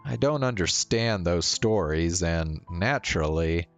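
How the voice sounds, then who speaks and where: A man reading a visual novel aloud as a streamer, in measured narration with the odd stumble. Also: sits low, lively intonation. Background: faint music.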